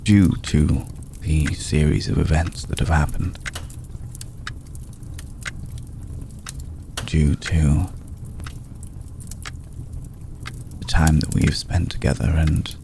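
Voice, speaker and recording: A man voicing an ancient, courtly, protective vampire lord in a hushed ASMR roleplay, speaking formally and with deliberate pauses. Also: extremely low pitch, fairly even intonation, gravelly, a little hiss or hum, full character voice. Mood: tender, hopeful. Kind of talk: ASMR roleplay, slow intimate scripted monologue.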